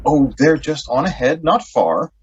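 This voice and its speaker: A man's voice: a little high, expressive, a little slow, a little hiss or hum. A man playing a patient young sweetheart in a radio drama, coaxing and reassuring, on a muffled recording. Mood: soothing, cheerful. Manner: coaxing, old-fashioned endearments. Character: reassuring, patient young sweetheart keeping his companion calm. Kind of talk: stage-like radio drama acting. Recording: dull, muffled lo-fi audio.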